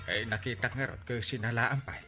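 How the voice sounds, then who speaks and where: An actor playing an excitable, comic village man with a Filipino accent, full of exaggerated exclamations in radio comedy drama. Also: medium pitch, a little fast, soft background music, close to an everyday voice. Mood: sheepish, amused.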